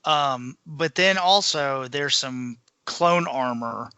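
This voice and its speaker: A man's voice: medium pitch, takes it slowly, pushed and bright, clean tone, dry recording, muffled recording. A man co-hosting a podcast, giving a casual, conversational recap of books. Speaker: podcast co-host.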